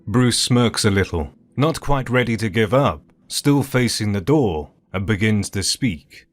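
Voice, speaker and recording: A man's voice: lowish voice, expressive, a little slow. A man giving scripted narration for an audio drama as its narrator.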